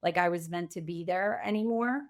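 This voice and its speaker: A woman's voice: fairly low pitch, a little fast. A middle-aged woman answering as a podcast guest in a conversational, emphatic way, using vivid examples to make her points. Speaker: podcast guest, pivot coach.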